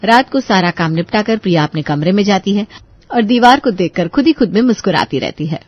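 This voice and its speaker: A woman's voice: mid-range voice, lively intonation, a little fast, no room sound, dull audio. A woman narrating a scripted bedtime story as a storyteller.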